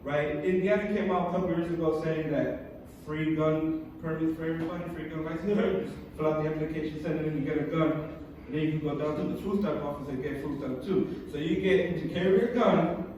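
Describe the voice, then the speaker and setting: Brief: middle-aged male comic, chatty and rambling, slightly high voice, recorded from far across an echoey club. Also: fairly even intonation, brisk, hardly any pauses, a little mellow. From born in Massachusetts, raised in California. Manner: chatty, rambling delivery with many fillers and restarts. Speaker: stand-up comedian. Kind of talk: stand-up comedy set. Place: comedy club stage. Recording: echoey club room, distant mic, background noise.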